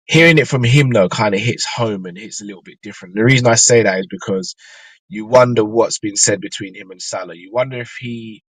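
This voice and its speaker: A man hosting a live fan show from inside his car, his voice still a little croaky from a flu, chatting loosely and thinking aloud with ums and restarts. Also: lowish voice, a little fast, no room sound, rough recording. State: slightly croaky after flu. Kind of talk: casual live-stream chat.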